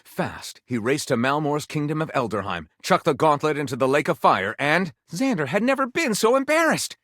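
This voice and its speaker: Wildly animated, melodramatic male storyteller. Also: on the high side, no room sound, studio quality. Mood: grandiose, breathless. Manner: theatrical, overwrought, suspenseful delivery with dramatic pauses. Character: melodramatic theatrical male storyteller of pulpy adventure tales. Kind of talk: scripted dramatic narration for a video game.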